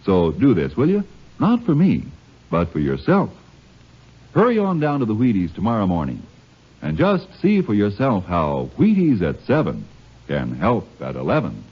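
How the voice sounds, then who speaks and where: A male radio announcer reading a chatty, folksy 1950s sales pitch. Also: wildly swooping pitch, normal speed, slightly rough. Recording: dull old radio recording.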